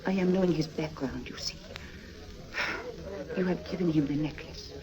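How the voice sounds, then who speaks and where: A woman's voice: deep voice, warm tone, close to an everyday voice, rough recording. A woman playing a shrewd, secretive con artist with a Central European accent, speaking in careful phrases in 1950s radio drama style. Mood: coolly insistent. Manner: deliberate, careful foreign phrasing.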